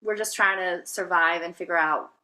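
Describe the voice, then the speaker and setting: A woman's voice: fairly low pitch, steady tone, moderate pace, pushed and bright, rough recording. A woman from Venezuela, a guest telling her life story in an interview, hesitant with many fillers and restarts. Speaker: guest, spiritual healer. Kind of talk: reflective personal interview talk.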